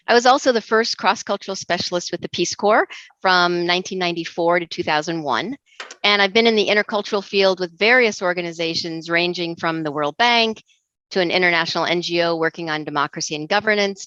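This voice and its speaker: A middle-aged woman from Egypt giving a measured, earnest recorded presentation as an intercultural trainer. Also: on the low side, pushed and bright, no room sound. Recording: dull dry lo-fi recording.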